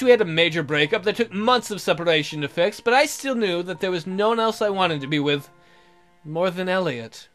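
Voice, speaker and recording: Male let's player, high lively voice, narrating a romance game theatrically with joking asides, music behind. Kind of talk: reading a visual novel aloud with joking asides.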